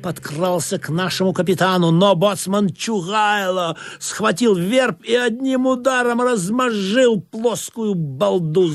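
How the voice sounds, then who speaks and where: A seafaring storyteller with a high, very lively voice. He narrates a comic radio play dramatically, with music behind him. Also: full character voice. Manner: animated, dramatic. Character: lively seafaring storyteller recounting absurd adventures. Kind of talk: theatrical scripted narration in a comic audio play.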